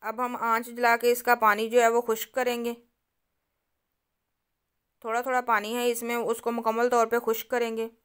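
A woman talks viewers through a recipe, one step at a time. Her voice sits a little high and stays fairly even, with a smooth, clear tone. She explains each step calmly, like a patient cooking host. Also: normal speed, long pauses. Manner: calm, instructive, addresses viewers directly.

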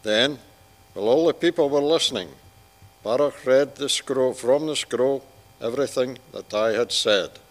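A man reads scripture aloud in measured phrases, as a lay reader in church. His voice is medium in pitch and rings with the long reverb of a large hall. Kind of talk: measured Bible reading aloud.

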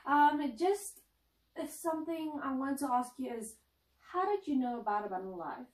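Young woman host chatting on a couch, kinda high voice, roomy sound from a distant mic. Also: lively intonation, normal speed. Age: 20s. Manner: bubbly, frequent ums and restarts. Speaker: announcer and interviewer. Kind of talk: casual interview chat. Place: indoor lounge set, seated on couch. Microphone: far camera mic.

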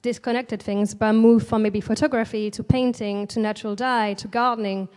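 A woman in her thirties from Alsace in France, a native French speaker with a French accent, speaking gently and reflectively as an artist guest in a stage interview into a handheld mic. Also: on the high side, fairly even intonation, fairly quick, clean tone. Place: large indoor event space at a museum, on stage. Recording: long hall reverb.

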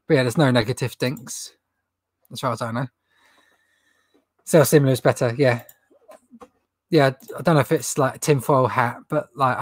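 A man's voice: mid-range voice, breathy edge, no room sound. Chatty young man with a runny nose. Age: young adult. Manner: chatty, rambling, laughs at own jokes. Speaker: reseller livestream host. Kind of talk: casual livestream Q&A. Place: indoor stock room with shelves.

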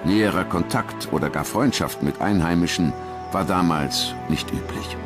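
A man narrates a documentary in a very low voice. His delivery is grave and measured, with scripted drama. Clear music plays behind him. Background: background music.

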